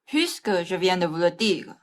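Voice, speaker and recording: A woman's voice: lowish voice, animated, normal speed, lightly acted. A young woman playing a matter-of-fact male private detective in a French radio farce. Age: young adult. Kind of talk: French stage farce performed as student radio play.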